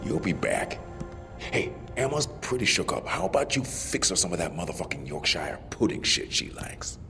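An actor playing a tough, cynical zombie apocalypse survivor in a video game, blunt, streetwise and foul-mouthed. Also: male voice, sits low, very animated, normal speed, creaky, long reverb tail, music in the background, heavily acted. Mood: resigned, dry. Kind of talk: video game cutscene acting.

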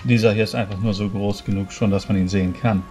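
A man playing a serious, polite healer who speaks in a measured, hesitant way during a tabletop roleplay. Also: fairly low pitch, fairly even intonation, mellow, soft background music, lightly acted. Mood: grave, explaining. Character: careful, formal male healer, soberly serious.

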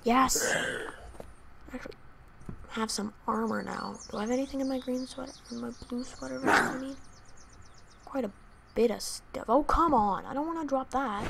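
A woman's voice: high voice, normal speed, creaky, long pauses, heavy background noise. A woman from Canada, chatting casually to viewers while narrating her gameplay into a new desktop microphone. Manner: chatty, thinking aloud to viewers. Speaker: gaming channel host.

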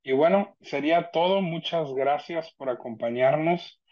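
A man's voice: fairly high pitch, measured pace. A man giving an informal explanatory online presentation as a legal representative, talking in a conversational, somewhat hesitant way over a video call. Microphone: video call computer microphone. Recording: dull lo-fi video call audio.

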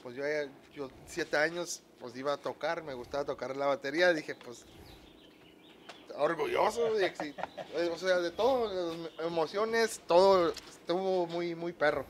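Drummer guy from texas, bit high voice, animated, faint outdoor noise. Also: measured pace. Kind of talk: casual group interview.

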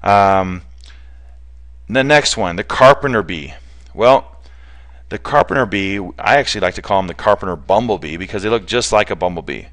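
A man's voice: sits low, normal speed, a bit bright. A man giving an informal, unscripted explanatory presentation in a conversational, plain-spoken way, as a hands-on specialist presenter. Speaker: bee removal specialist presenter.

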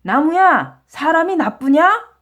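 A woman acts out a kindly but flustered traveler man from a storybook. The voice is high and very lively, with earnest, exclamatory, old-fashioned phrasing. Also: takes it slowly, full character voice. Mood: earnest asking. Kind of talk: children's storybook character voice.

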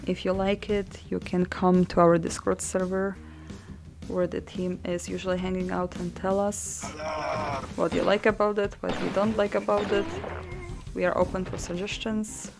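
Young woman narrating gameplay from her desk, gravelly fry in her voice, chill and dry, game music underneath. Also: fairly low pitch, moderate pace. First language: Polish. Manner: relaxed, dry humor, thinks aloud with ums. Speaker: mod developer showing a game. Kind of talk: casual gameplay commentary.

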